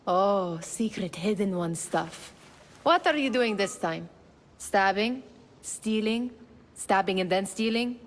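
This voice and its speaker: A woman's voice: mid-range voice, expressive, takes it slowly. A woman playing a cheeky, playful young thief in a video game, teasing as she talks, in long reverb. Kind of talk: video game cutscene acting.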